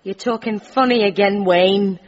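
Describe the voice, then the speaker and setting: A woman playing a brisk, bossy, down-to-earth British character in an audio comedy, nagging in colloquial speech. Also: mid-range voice, close to an everyday voice. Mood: exasperated. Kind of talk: audio drama comedy acting. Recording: muffled, dull recording.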